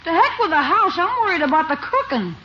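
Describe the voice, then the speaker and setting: A woman's voice: very high-pitched, big pitch swings, fast, sharp tone, heavily acted. A woman voicing a brash, wisecracking young nephew in a radio comedy. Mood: glum. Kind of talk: 1950s radio situation comedy acting. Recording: old muffled radio recording, faint hiss.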